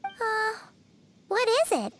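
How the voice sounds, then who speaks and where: A woman voicing a tiny, childlike, excitable companion creature in a video game, eager and exclamatory. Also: very high voice, slow pace, noisy background, exaggerated voice. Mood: puzzled.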